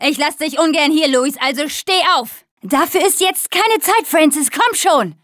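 A young female zombie-apocalypse survivor from a video game, with a high voice. She talks tough but cares for her team, and delivers her short lines at an unhurried pace. The sound is dry and close. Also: lively intonation, fairly bright tone, no echo. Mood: urgent, insistent.

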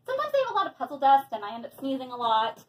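Bubbly high-voiced woman chatting at home. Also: rapid-fire, a little hiss or hum, rough recording. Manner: chatty, excited, bubbly, with frequent fillers. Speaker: hobby vlogger. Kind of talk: casual unboxing chat. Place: small room indoors at home.

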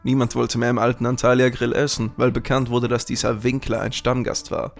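A man acts a friendly kebab shop owner on the shady side. His mid-pitched voice crackles with fry, in the style of a detective audio spoof. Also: level tone, fairly quick, faint music behind, close to an everyday voice. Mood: genial. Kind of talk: parody detective radio play.

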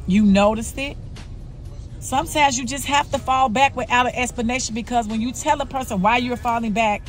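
Woman in her 40s, earnest personal vlogger, soft music under her voice. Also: fairly high pitch. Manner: earnest, confessional, repeats herself. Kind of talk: candid personal vlog monologue.

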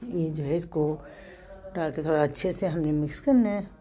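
A woman with a Pakistani Urdu accent and a hoarse, sore throat, chatting through a home recipe step by step and correcting herself as she goes. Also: low voice, moderate pace, crowd noise. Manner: chatty, explains step by step with small self-corrections. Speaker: home cook host. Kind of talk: casual cooking narration.

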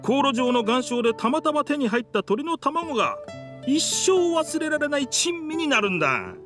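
An actor voicing a proud, dramatic talking pirate ship in an anime video game, in pompous, rough masculine speech. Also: male voice, very high-pitched, big pitch swings, moderate pace. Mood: boastful. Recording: music behind the voice.

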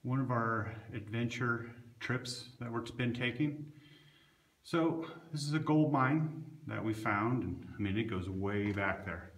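A man hosting a casual walk-around vlog, talking in a laid-back, rambling, plain-spoken way. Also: lowish voice. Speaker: vlog host. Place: abandoned mine tunnel, then open desert hillside outdoors. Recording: handheld outdoor recording with clear background noise.

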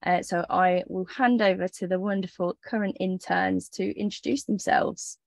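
A woman's voice: mid-range voice, fairly even intonation, normal speed, gravelly, no room sound. A woman presenting an overview of an internship programme on a video call, friendly and organized, with frequent "um"s. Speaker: programme coordinator.

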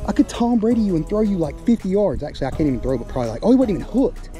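Excitable young guy vlogging outdoors. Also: sits high, very fast, soft background music. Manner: excitable, shouting and laughing at catches. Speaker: fishing vlogger. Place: outdoors by water.

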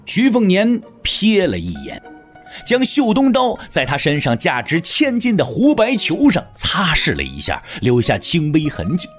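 A man's voice: fairly high pitch, huge pitch swings, measured pace. A man narrating a wuxia audio drama as a scripted, dramatic storyteller. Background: faint music.

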